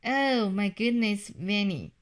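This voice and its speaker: A woman voicing a gentle grown man hiding with his family in a storybook, calm and reassuring. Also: medium pitch, slow pace.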